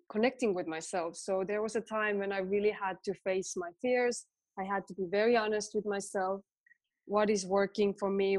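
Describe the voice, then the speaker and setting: A woman's voice: medium pitch, no room sound. Estonian-accented woman, reflective and dry. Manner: reflective, laughs easily, soft trailing phrases. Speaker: guest, women's coach. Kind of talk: conversational interview.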